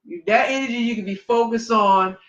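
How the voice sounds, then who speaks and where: A man with a notably high voice co-hosting a casual livestream talk show. He speaks emphatically, often tacking "man" onto his lines. He sounds echoey and muffled, as if captured in a bare room on a lo-fi stream. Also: fairly quick, fairly bright tone. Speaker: podcast co-host. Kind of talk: casual chat. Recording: echoey, dull lo-fi livestream audio.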